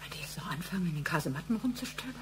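A woman's voice: lowish voice, animated, racing, slight background noise, close to an everyday voice. Flustered veteran secretary played by a woman, roomy sound. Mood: alarmed. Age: middle-aged. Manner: agitated, fretting. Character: flustered, fretful long-serving female office secretary. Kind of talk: German radio play acting.

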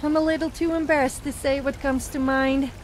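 A coy female wood elf from a fantasy video game, voiced by a woman. The voice is high and playful, with faint noise in the background. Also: fairly even intonation, a little fast, lightly acted.

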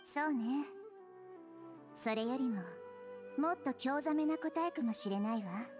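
A wise little girl goddess in an anime game. She speaks gently and thoughtfully in a high voice, with music clearly behind her. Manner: gentle, measured, instructive. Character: small wise childlike girl deity, calm and thoughtful.

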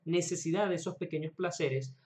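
A man's voice: fairly high pitch, brisk, darkish tone. A man in his twenties talking directly to camera as an online host, explaining self-improvement advice earnestly in a small room at home. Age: 20s.

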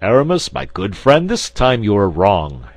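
A man's voice: on the low side, big pitch swings, a little slow, no room sound. A man voicing a boisterous, self-satisfied musketeer in an audiobook, with an emphatic, declamatory manner. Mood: scolding. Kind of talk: dramatic audiobook character reading.

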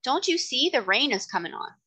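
A woman's voice: high voice, very animated, a little fast, pushed and bright, slightly rough, pitched above the performer's own voice, exaggerated voice. A woman voicing a kindly, firm male cab driver in a storybook, plain-spoken and respectful. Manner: plain-spoken, respectful working-class address.

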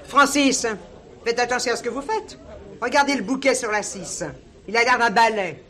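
An actress playing a middle-aged merchant's wife in a French radio crime drama, sharp-tongued, gossipy and disdainful. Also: female voice, on the high side, moderate pace. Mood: bossy. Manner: gossipy, disdainful, bossy with staff.

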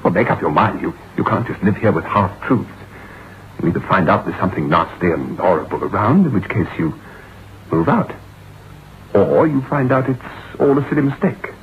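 An actor playing a breezy, sceptical friend in an old-time radio drama, with a jaunty, casual manner. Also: male voice, fairly low pitch, close to an everyday voice. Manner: jaunty, casual, hesitant pauses. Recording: dull, noisy old radio recording.

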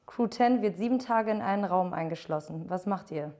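A woman interviewer firing off quick, teasing questions in a studio. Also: medium pitch, warm tone. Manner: brisk, teasing question delivery.

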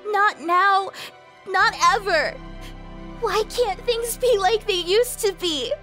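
A woman voicing a gentle, caring teenage anime girl who speaks in an earnest, halting, pleading way. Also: very high-pitched, heavily acted. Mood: tearful pleading. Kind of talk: animated visual novel acting. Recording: music behind the voice. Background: music.

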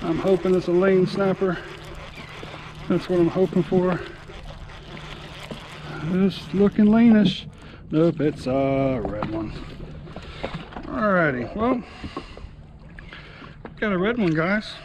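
An easygoing man in his forties narrates his fishing trip, voice a touch high for a man. He talks from a small open boat far offshore, recorded on an action camera. Clear wind and water noise runs under his voice. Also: lively intonation, slow pace, pauses often. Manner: easygoing, chatty, talks to the fish and viewers. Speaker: fishing channel host. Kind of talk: casual fishing vlog narration.

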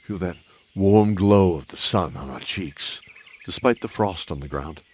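Man playing a deep-voiced, kindly old knight. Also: a little slow, a little mellow, slightly rough. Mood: warm, musing. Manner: hesitant, musing, sighing. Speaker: host of an audio drama. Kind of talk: in-character audio drama introduction.

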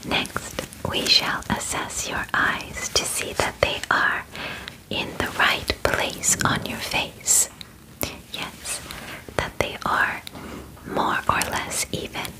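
A woman in her twenties whispering close to the mic in an ASMR roleplay as an examiner, deliberate and formal in her phrasing. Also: low-quality recording. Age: 20s. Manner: slow, soft, deliberate, formal clinical phrasing. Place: small bedroom, indoors.

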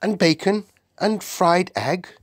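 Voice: medium pitch, lively intonation, slow pace, husky, no room sound, studio quality, pitched above the performer's own voice. A storybook reader voicing a polite, eager little girl. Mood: eager, pleading.

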